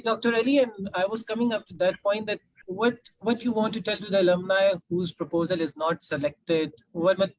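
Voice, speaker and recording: A man's voice: sits high, no room sound, low-quality recording. A man with a Pakistani accent moderating an online panel in a polite, affirming way.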